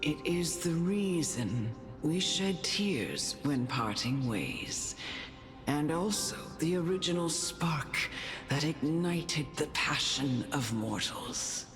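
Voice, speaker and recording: Deep, slow, serene noblewoman. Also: expressive. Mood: solemn warning. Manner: measured, philosophical, formal phrasing. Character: serene, wise noblewoman, a gentle motherly mentor. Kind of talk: scripted fantasy game dialogue. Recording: long reverb, clear music behind.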